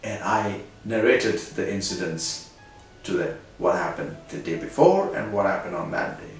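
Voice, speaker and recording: A man's voice: low voice, moderate pace. A middle-aged man from India with an Indian English accent, telling a personal anecdote in a conversational way and closing with a lesson. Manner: animated, conversational, moral at the end. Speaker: storyteller host. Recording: very echoey room, distant mic, faint music.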